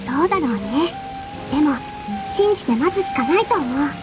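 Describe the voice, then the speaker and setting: Anime game heroine: young dancer, kind and soft-hearted, very high, animated delivery. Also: female voice, a little fast, over music. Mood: gentle encouragement. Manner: soft-hearted, polite, girlish. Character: gentle, kind young woman dancer, encouraging. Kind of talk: Japanese anime game dub.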